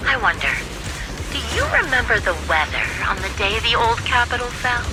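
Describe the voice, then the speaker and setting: An actress plays a sadistic video game sniper villainess. Her high voice swings widely as she mocks and gloats with theatrical relish, set against loud music and battle effects. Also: expressive, lightly acted, rough recording. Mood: menacing glee. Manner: theatrical, mocking, drawn-out delivery. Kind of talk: dramatic video game voice acting. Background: loud game music, gunfire and mechanical sounds.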